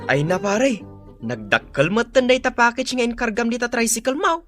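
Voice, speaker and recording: A man's voice: extremely high pitch, animated, moderate pace, music in the background. A man with an Ilocano accent playing an eager village buddy in a radio drama. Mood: curious.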